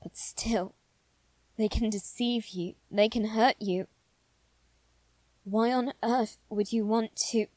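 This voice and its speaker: Slow-spoken, anxious young lord, acted by a woman. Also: a little high, steady tone, a little mellow, no room sound, poor audio. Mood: alarmed, scolding. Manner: formal, halting delivery with dramatic pauses. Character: anxious aristocratic boy lord bound to demons. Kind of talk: scripted ASMR roleplay addressed to the listener.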